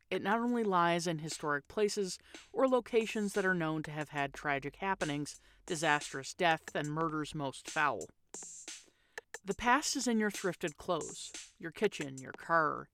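Woman narrating over music, podcast host. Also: fairly low pitch. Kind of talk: scripted narration for a spooky storytelling podcast. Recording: music playing under the voice.

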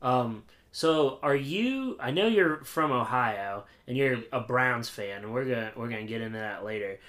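A man's voice: medium pitch, expressive, normal speed, a bit bright. A young American man from Pennsylvania hosting a podcast in an informal, laid-back chat. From Pennsylvania, United States. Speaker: podcast host. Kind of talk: casual chat.

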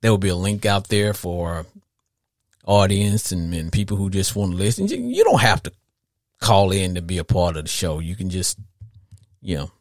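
A man's voice: deep voice, big pitch swings, normal speed, no echo, clean studio sound. A middle-aged man with a Southern US accent, hosting a casual sports talk podcast in a folksy, rambling way, repeating phrases for emphasis. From southern United States. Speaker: podcast host.

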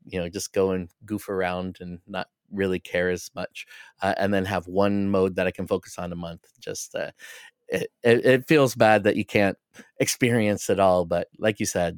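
A man with a low, smooth voice talks casually as a gaming podcast co-host. He is measured and thoughtful, and the sound is dry and close. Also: no echo.

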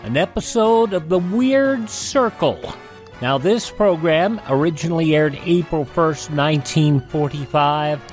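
A man's voice: on the high side, lively intonation, a little slow, soft background music. A man hosting a radio show, mixing scripted links with informal asides.

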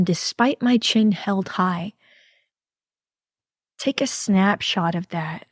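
A woman's voice: medium pitch, darkish tone, no echo, clean studio sound. A woman hosting her own podcast, telling her own story in an earnest, confiding way and often checking in with "right?". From New York, USA. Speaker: podcast host. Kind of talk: personal storytelling monologue.

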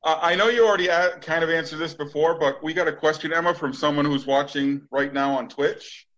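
A man's voice: a little high, fairly even intonation, a little fast, a bit bright. A middle-aged man from Massachusetts hosting a podcast in a rambling, enthusiastic, casual way. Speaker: podcast host. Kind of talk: casual chat. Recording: dull, lo-fi audio.

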